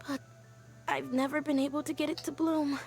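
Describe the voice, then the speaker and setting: A woman voicing an earnest young woman scholar in a game, telling her story quietly and sighing often. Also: high voice, little pitch movement, normal speed, soft background music. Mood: wistful. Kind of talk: game cutscene voice acting.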